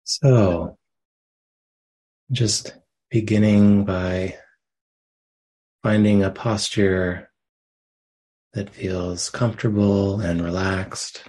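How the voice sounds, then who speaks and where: Low, slow-speaking man guiding meditation. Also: steady tone. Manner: gentle, unhurried phrasing with long pauses. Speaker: meditation teacher. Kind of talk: guided meditation, slow soft instruction.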